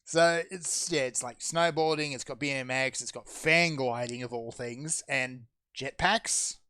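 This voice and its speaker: A man hosting a casual gaming podcast, running through news with a brisk, sardonic manner. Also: mid-range voice, pushed and bright, clear, resonant tone, no room sound. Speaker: podcast host. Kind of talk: casual chat.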